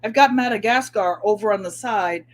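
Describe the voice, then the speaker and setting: A middle-aged woman with a New England accent and a nasal voice, hosting a casual live craft stream and chatting to viewers as she shows her work. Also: medium pitch. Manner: chatty, unhurried, talks to viewers by name. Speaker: craft livestream host.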